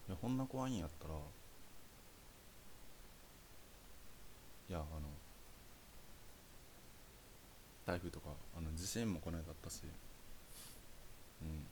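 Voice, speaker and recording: A man's voice: low voice, animated, a little fast, darkish tone, slight background noise. A young man with a Kansai Japanese accent playing a caring boyfriend in a naturalistic phone-call audio drama, chatting casually and hesitantly. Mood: hesitant, tender. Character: caring young boyfriend reassuring his anxious girlfriend.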